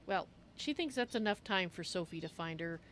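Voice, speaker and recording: Female game streamer, playful in-character narration, very varied intonation, mid-range pitch, faint background noise. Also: expressive, normal speed, fairly bright tone. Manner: playful, musing aloud. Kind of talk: in-character roleplay narration of a video game, in third person.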